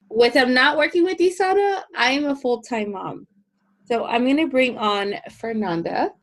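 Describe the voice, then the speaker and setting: A woman hosting a casual interview show, effusive, warmly thanking and praising her guests. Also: on the high side. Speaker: podcast host.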